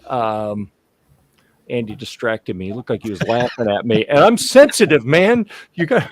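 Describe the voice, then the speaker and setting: A man's voice: mid-range voice, lively intonation, normal speed, no echo, clean studio sound. A man hosting a casual live-stream panel, chatty and joking, with plenty of fillers, on a video call mic. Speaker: host and show sponsor.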